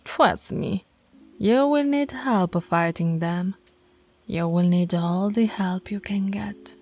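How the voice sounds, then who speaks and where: Slow, mellow, commanding alpha werewolf woman, acted out by a woman. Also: medium pitch, soft background music. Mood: firm, admonishing. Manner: commanding, scolding, taunting, deliberate. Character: dominant alpha female werewolf, stern pack leader. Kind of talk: ASMR roleplay monologue.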